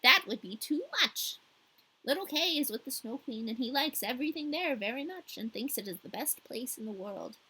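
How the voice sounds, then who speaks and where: A woman's voice: fairly high pitch, lively intonation, normal speed, sharp tone, slightly rough, heavily acted, low-quality recording. A woman voicing a firm, knowing old wise woman in a storybook tale.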